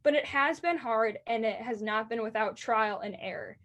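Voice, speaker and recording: An eighteen-year-old woman with a high-pitched voice, earnestly answering interview questions about racing announcing. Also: fairly bright tone. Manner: earnest and enthusiastic, quick bursts.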